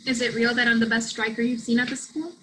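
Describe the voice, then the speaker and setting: Woman acting guarded young student, higher voice. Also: flat delivery, fast, fairly bright tone, slight background noise, natural voice. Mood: defiant. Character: guarded, self-doubting female international college student, soccer striker. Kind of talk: virtual staged play reading. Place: remote virtual reading over video call.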